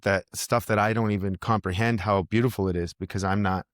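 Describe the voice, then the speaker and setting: Brief: man, musician guest, low voice, rambling and restarting, dry close sound. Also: steady tone, fairly quick, no room sound. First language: English. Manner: rambling, thinks aloud with many restarts. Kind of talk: casual podcast conversation.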